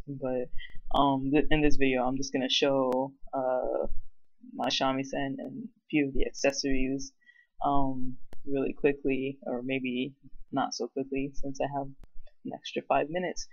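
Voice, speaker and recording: A man's voice: medium pitch, no room sound, lo-fi sound. A man giving a casual, unscripted show-and-tell as a hobbyist vlogger, rambling and hesitant in a self-effacing way.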